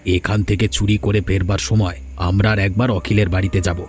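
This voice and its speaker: Cartoon village man, low and grumbling, deliberate delivery over music male actor. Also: level tone, close to an everyday voice. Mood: scheming, wary. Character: male village thief and weary elder brother, grumbling. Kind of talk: Bengali cartoon fairy-tale dubbing.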